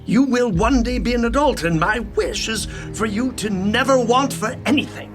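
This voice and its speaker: A man's voice: extremely high pitch, normal speed, over music. An actor playing a greedy, ambitious king and father with formal, theatrical speech. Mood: grandiose, scheming.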